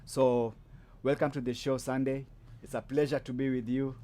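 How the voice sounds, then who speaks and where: A man hosts a casual podcast chat with a guest. His voice is mellow and relaxed, and he rambles freely with fillers and restarts. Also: medium pitch, moderate pace, slight background noise. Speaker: podcast host.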